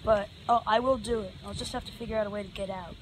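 A teenage boy vlogging outdoors in the woods on a handheld phone, chatty and excitable, cursing under his breath as he works. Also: high voice, fast talker, rough recording. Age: teens. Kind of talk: casual outdoor vlog. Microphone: handheld phone camera. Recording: loud outdoor noise on phone.

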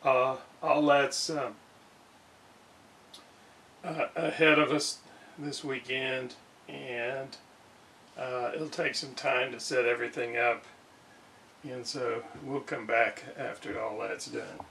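Slow-talking man, recorded from a distance. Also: mid-range voice, steady tone, gravelly, pushed and bright. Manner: halting, hesitant, thinking aloud. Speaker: radio restorer, video host. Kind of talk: casual hands-on demonstration talk. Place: indoor home workshop at a desk. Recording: distant mic with background noise.

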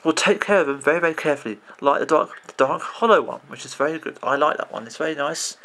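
A man in his thirties with a croaky voice, rambling off the cuff as he vlogs about video games at his computer, full of restarts and "you know"s. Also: on the high side, fast talker, bright, forceful tone. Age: 30s. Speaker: video game vlogger. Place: indoors at a computer.

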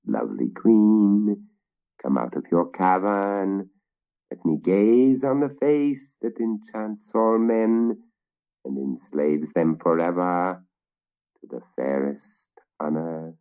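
A man's voice: sits low, lively intonation, measured pace, creaky, few pauses, slightly rough, heavily acted. A man voicing a scheming old male wizard who talks in a gloating, sly way, in a slightly muffled recording. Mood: coaxing.

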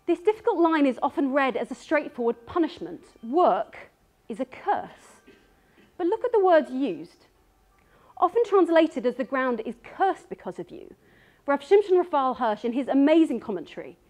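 A woman in her thirties speaks on a darkened stage in a voice set slightly high. Her delivery is earnest and measured as she works through prepared notes, picked up by a stage microphone. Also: a little mellow. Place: dark stage before an audience.

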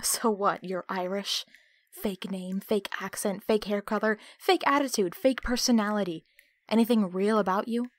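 A woman playing a betrayed, defiant captive in an audio drama, speaking with hurt, accusing, sharp-tongued emotion. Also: medium pitch, normal speed, dry recording, lightly acted. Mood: defiant contempt. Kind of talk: audio roleplay acting.

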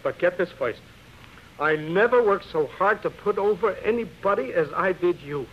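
A scathing, animated theatrical agent. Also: male voice, high voice, moderate pace. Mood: bitter. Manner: emphatic, streetwise, colloquial. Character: blunt, exasperated male theatrical agent, worn out and scathing. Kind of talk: 1930s radio drama acting. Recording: muffled, noisy vintage radio recording.

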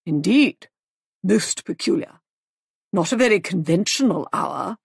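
A woman's voice: on the low side, animated, a little slow, vocal fry, husky, no room sound, studio quality, heavily acted. A woman voicing a snobbish, disapproving older woman in a storybook, with an imperious, suspicious manner. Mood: haughty disapproval.

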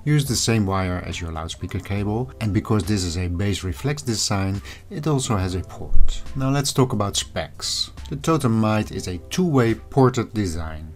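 A man's voice: on the low side, animated, moderate pace, hardly any pauses, soft background music. A man hosting an audio review channel, narrating a scripted product review.

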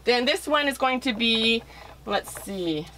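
A middle-aged woman with a fairly high voice talks children through a drawing. She thinks aloud at a slow, unhurried pace, playful and encouraging. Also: animated, pushed and bright, slight background noise, rough recording. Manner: playful, encouraging, talking in bursts while drawing. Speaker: children's author-illustrator giving a drawing demonstration. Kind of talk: improvised art lesson for children, thinking aloud.

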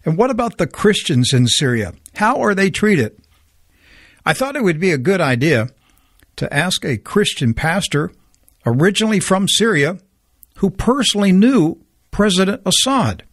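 Earnest talk radio host, dry studio. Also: male voice, high voice, big pitch swings, normal speed, a bit bright, no room sound. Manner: earnest, stumbling restarts when unscripted.

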